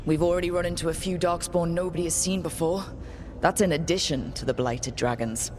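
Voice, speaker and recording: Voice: medium pitch, brisk, a little mellow, a little hiss or hum, lightly acted. An actor voicing a resolute, plain-spoken young hero who leads a resistance in a fantasy video game. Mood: matter-of-fact. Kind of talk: video game cutscene acting.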